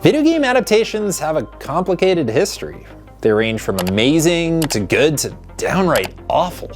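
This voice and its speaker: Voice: medium pitch, sing-song, moderate pace. A narrator delivering a scripted introduction to a video. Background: background music.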